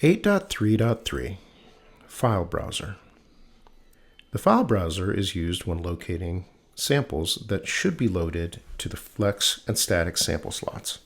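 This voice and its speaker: A man reading scripted technical text in a soothing, measured voice with deliberate pauses, as the host of a sleep-aid reading. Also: low-pitched, very animated.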